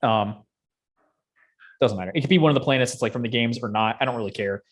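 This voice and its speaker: Guy hosting a casual podcast, rambly and opinionated, lots of slang, regular mid pitched voice. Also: fast talker. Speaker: podcast host. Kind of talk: casual chat about games and films.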